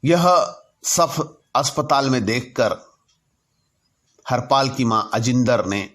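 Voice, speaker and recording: A man narrating a personal story in a deliberate way, in short halting phrases. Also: medium pitch, fairly bright tone. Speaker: narrator telling his own anecdotes.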